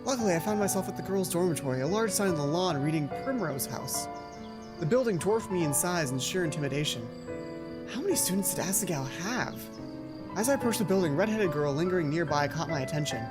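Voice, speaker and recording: A woman with a lowish voice plays through a story game, reading narration aloud. She keeps interrupting herself with playful, chatty asides. Game music plays clearly behind her. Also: expressive, quick pace. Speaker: let's play streamer. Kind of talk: reading game script aloud with casual commentary. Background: video game music.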